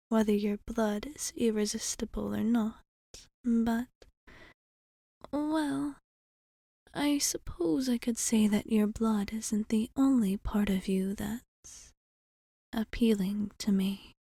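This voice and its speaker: Casting: woman to play a fond, teasing vampire bartender for intimate ASMR. Mellow, breathy half-whisper, polite measured speech, close dry sound. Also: a little high, no echo. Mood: teasing, flirtatious. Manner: measured, formal, hesitant phrasing. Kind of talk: ASMR roleplay, intimate monologue.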